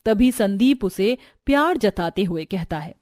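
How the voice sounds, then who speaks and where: A woman's voice: medium pitch, lively intonation, unhurried, darkish tone, dry recording, studio quality. A woman narrating a scripted family story as a storyteller.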